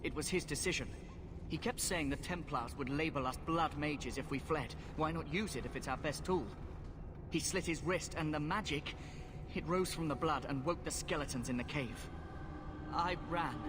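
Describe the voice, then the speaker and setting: A man acts a scared young runaway mage from a fantasy game. The voice is fairly high, anxious and halting, with heavy background noise. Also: close to an everyday voice. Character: frightened, repentant young male mage runaway. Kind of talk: video game voice acting. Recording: very noisy background ambience.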